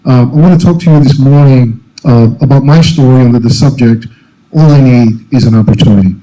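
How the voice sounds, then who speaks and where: Middle-aged man, native Spanish speaker, very dark mellow tone, earnest speech with many fillers. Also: medium pitch, fairly quick, low-quality recording. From Dominican Republic. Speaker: alumni speaker. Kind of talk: prepared commencement speech.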